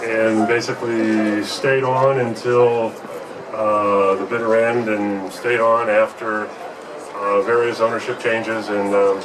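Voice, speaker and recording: An older man reminisces casually at a live event with a slow, halting delivery. His pitch is average, and he sounds off the mic with noise behind him. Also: level tone. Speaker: retired radio engineer. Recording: distant mic, noisy event room.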